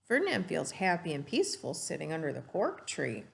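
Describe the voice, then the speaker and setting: Creaky, low-pitched woman reading to children. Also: wildly swooping pitch, a little fast. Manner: simple explanations with questions to young listeners. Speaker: teacher reading a storybook to children. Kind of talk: storybook read-aloud and lesson for children.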